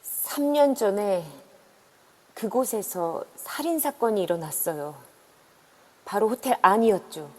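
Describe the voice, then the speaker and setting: A woman's voice: medium pitch, animated, a little slow, pauses often. A woman voicing a worried middle-aged woman who earnestly warns visitors away, in an amateur audio drama. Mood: grave. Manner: earnest, urgent pleading. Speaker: voice actor. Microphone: phone in an online voice room. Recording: long reverb on a voice chat app.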